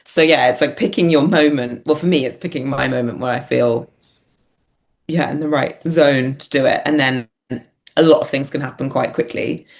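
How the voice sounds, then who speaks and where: A woman's voice: a bass voice, moderate pace. A woman talking casually and reflectively as an interview guest, hesitant and self-deprecating as she thinks aloud. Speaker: podcast guest, writer and performer.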